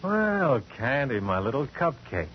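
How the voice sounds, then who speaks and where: A man's voice: medium pitch, sing-song, a little slow. An American man playing a teasing, breezy homicide inspector in 1940s radio drama style. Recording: muffled, noisy 1940s radio broadcast.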